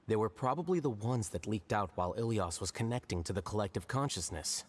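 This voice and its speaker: A man voicing a calm, logical male scholar in a video game, speaking in a cool, matter-of-fact way. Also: lowish voice, brisk.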